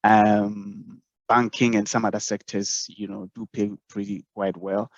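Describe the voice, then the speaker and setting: A man from Ghana, a communications professional speaking as a webinar guest in a measured, thoughtful way with frequent pauses. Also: lowish voice, level tone, dry recording. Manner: measured, thoughtful, many "um"s and restarts. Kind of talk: webinar panel answer. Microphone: video call. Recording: dull muffled online call audio.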